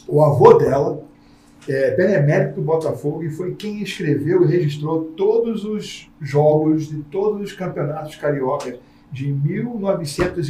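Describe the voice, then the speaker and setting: A man hosting a casual podcast conversation, a lively storyteller who acts out the people in his anecdotes. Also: a little high, a little hiss or hum. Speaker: podcast host. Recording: roomy sound from a distant mic.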